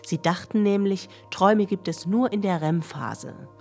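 A woman's voice: mid-range voice, animated, unhurried, a little mellow, faint music behind. A woman playing a matter-of-fact science explainer in a children's radio play, talking to listeners in a didactic way. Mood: instructive. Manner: clear, didactic, addressing listeners directly.

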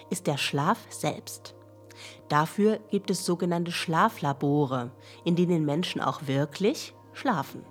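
A science explainer, played by a woman, instructs young listeners in a children's radio play. Her voice sits fairly low and her manner is factual and didactic, with faint music behind her. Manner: clear, didactic, addressing listeners directly. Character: female science explainer addressing children, matter-of-fact, instructive.

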